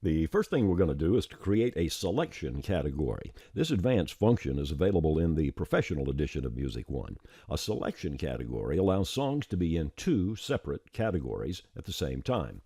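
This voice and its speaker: A man presenting a software tutorial as a step-by-step screencast voice-over, plain-spoken and informal, on a close mic. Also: very deep voice, sing-song, a little fast, few pauses, breathy edge, dry recording.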